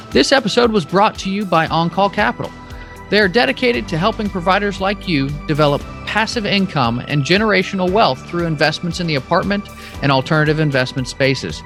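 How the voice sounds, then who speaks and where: A man's voice: fairly high pitch, fairly bright tone. A man hosting a podcast, talking frankly in casual conversation and reading a scripted outro. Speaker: podcast host.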